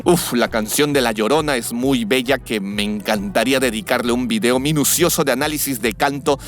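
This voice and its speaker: A man's voice: medium pitch, brisk, faint music behind. A man hosting a vlog, narrating an enthusiastic video essay. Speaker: vlog host.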